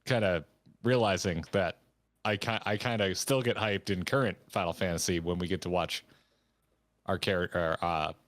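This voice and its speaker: Male stream co-host, lowish pitch, very dry sound, informal back-and-forth commentary. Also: fairly even intonation, normal speed, no room sound. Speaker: co-host of a gaming reaction stream. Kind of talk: casual reaction commentary and discussion.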